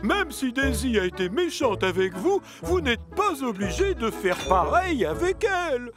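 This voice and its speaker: Stern male boss for kids' cartoon: high-pitched, deliberate, authoritative, expressive swings. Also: exaggerated voice. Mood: stern, disappointed. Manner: stern, deliberate, hesitant hums. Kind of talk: French children's cartoon dub. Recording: music behind the voice.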